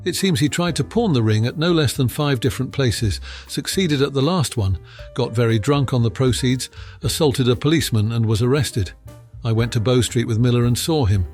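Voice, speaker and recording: Man playing a blunt, mid-pitched police inspector. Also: darkish tone, faint music behind, natural voice. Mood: brisk, confident. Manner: matter-of-fact, brisk, colloquial. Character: blunt, confident male Scotland Yard police inspector. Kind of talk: acted audiobook dialogue.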